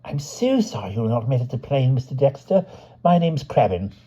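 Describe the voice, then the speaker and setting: A man's voice: mid-range voice, very animated, a little fast, dark tone, breathy edge, highs cut off, pitched above the performer's own voice. A man voicing a fussy, eager young male official who gushes apologetically, partly in a put-on Austrian accent. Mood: apologetic. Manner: apologetic and gushing.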